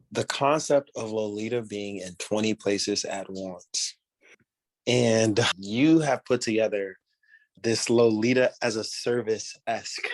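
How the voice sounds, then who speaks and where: Laid-back low-voiced male podcast host. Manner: relaxed, casual slang. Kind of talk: casual podcast interview.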